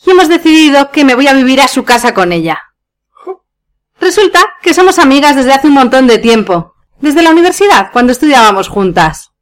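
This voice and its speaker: A woman's voice: sits high, lively intonation, a little fast. A woman from Spain acting a scornful, sardonic wife in a radio play farce, with a cutting manner and a Spanish accent.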